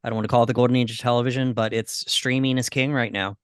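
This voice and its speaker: A man co-hosting a podcast in casual discussion, thinking aloud in a halting way. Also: medium pitch, level tone, smooth, dry recording, studio quality. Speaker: podcast co-host. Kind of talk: casual discussion of games and movies.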